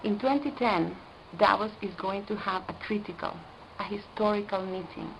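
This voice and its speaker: A woman from Costa Rica, an environmental specialist, speaking in an earnest, deliberate way and stressing key words. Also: mid-range voice, vocal fry, fairly bright tone, a little hiss or hum, rough recording. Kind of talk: prepared video statement.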